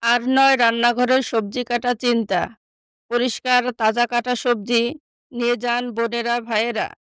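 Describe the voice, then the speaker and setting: A woman's voice: fairly high pitch, little pitch movement, moderate pace, a bit bright, clear, resonant tone, no room sound, natural voice. A woman playing a humble, sincere young stepsister who sells vegetables in a moral story. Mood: cheerful selling. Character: Ayesha, humble neglected young stepsister turned vegetable seller.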